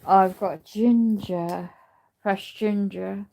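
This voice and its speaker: A woman chatting casually on a livestream, talking in a halting, rambling way while she loses her voice. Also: medium pitch, steady tone, mellow. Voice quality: gravelly. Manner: rambling, halting speech with many restarts. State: losing her voice. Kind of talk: casual livestream chat with viewers.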